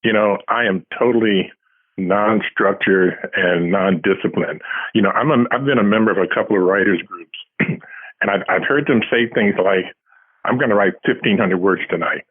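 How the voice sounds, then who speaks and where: Husky man on a phone-like line. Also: on the low side, normal speed. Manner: self-deprecating, dryly humorous. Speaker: author being interviewed. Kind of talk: casual anecdotal interview talk.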